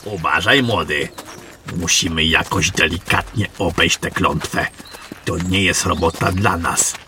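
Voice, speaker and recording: A man playing a gruff, wary veteran general who mentors a young warrior in a fantasy audio drama. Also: low voice, a little slow, pushed and bright, slight background noise, full character voice. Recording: music under the voice.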